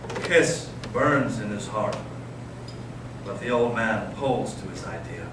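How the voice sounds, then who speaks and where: A man playing a brooding, cynical intellectual in readers theater, speaking with measured, ironic intensity. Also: on the low side, animated. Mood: defensive, wry. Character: brooding, cynical intellectual brother, a provocative storyteller. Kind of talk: staged readers theater dialogue. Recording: echoey noisy room, distant microphone.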